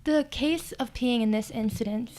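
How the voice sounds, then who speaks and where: A woman playing an earnest psychic in a comedy interview, speaking in a serious, measured, matter-of-fact way. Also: fairly high pitch, a little mellow, natural voice. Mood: serious, convinced. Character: earnest female psychic who believes in vengeful spirits.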